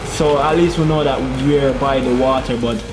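A man's voice: mid-range voice, steady tone, normal speed, noisy background. A young man giving casual gameplay commentary on a headset in a small room at home, chatty, muttering to himself and laughing as he drives. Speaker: gaming YouTuber. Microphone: gaming headset.

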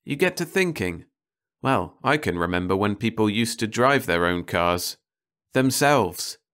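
A man voicing a quiet, wistful older roadside lunchroom keeper in an audiobook story, mild and musing. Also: on the low side, expressive, a little slow, voice pushed up. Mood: nostalgic. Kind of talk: audiobook character dialogue.

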